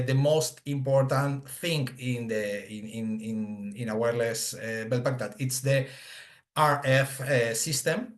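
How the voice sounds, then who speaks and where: A man gives an unscripted webinar product demonstration, halting and searching for words, on a video call. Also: medium pitch. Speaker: product presenter. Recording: echoey room on a video call.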